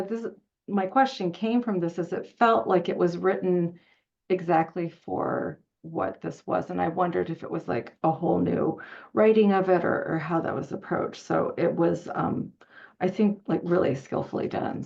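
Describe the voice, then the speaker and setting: A woman's voice: lowish voice, fairly even intonation, vocal fry, a little mellow, highs cut off. A woman interviewing in an effusive, admiring way, feeling out her questions as she talks. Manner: effusive, admiring, halting delivery. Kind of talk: enthusiastic interview conversation.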